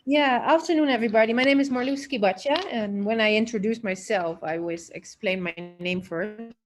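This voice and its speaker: A woman's voice: fairly high pitch, brisk, soft background music. A woman from the Netherlands introduces herself as a managing director in an informal online seminar, over internet call audio.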